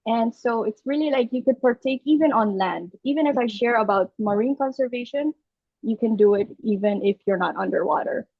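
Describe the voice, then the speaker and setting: A woman's voice: on the high side, a little fast, no room sound. A young woman from Aruba with a Filipino accent, a podcast guest explaining her views earnestly, step by step, with small restarts. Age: young adult. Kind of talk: conversational interview. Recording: dull dry lo-fi call audio.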